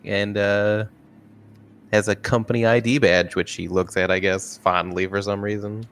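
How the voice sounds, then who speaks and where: A man playing a tabletop game, casually describing his character in a halting, wry way. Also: on the low side, level tone, fairly bright tone, clean tone. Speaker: player.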